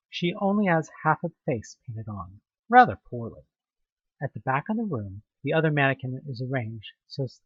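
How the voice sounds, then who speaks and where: A man's voice: medium pitch, slightly rough, no echo, highs cut off. A young man reading a second-person horror audioplay aloud, building suspense and now and then tripping over a word. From Houston, United States. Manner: tense, suspenseful storytelling with occasional slips. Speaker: narrator.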